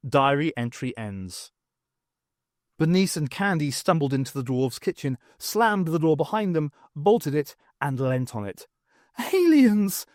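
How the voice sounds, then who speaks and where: A man narrating scripted fiction for an audiobook in an expressive, theatrical storytelling manner. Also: a little high, unhurried, dry recording, studio quality.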